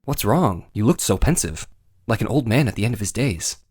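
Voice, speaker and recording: An actor playing an earnest, dogged and excitable young defense lawyer in a video game, with a probing way of talking. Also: male voice, fairly low pitch, brisk, slightly rough. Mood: thoughtful. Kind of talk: dramatic video game character acting with inner monologue.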